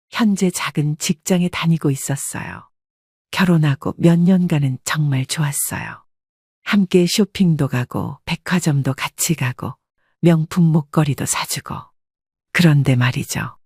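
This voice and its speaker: An older woman narrates a personal life story in a confessional, scripted style. Her voice is husky and sounds close, with no room around it. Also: deep voice, a little slow, darkish tone, no echo.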